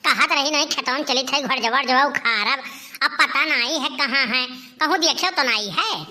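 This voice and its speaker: A bossy, fiery middle-aged village wife in a cartoon comedy , acted out by a woman. Her voice is high and very sharp, and she scolds and calls out in an Awadhi accent. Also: normal speed. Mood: scolding. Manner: scolding, loud calling.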